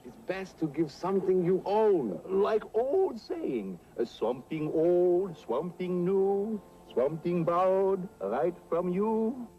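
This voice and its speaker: An actor playing a sly, greedy wedding guest in a TV sitcom, wheedling in insinuating broken English. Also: male voice, high-pitched, sing-song, slow pace, few pauses, far from the mic, highs cut off, slight background noise, exaggerated voice. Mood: sly, coaxing. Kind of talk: TV sitcom acting.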